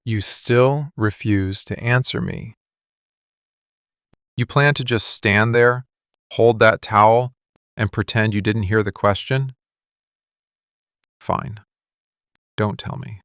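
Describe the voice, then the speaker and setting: A man playing a possessive, wounded mafia boss in a boyfriend ASMR roleplay, speaking in deliberate, clipped, interrogating phrases. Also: lowish voice, fairly even intonation, fairly bright tone, clear, resonant tone, no room sound, clean studio sound. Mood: stern, impatient.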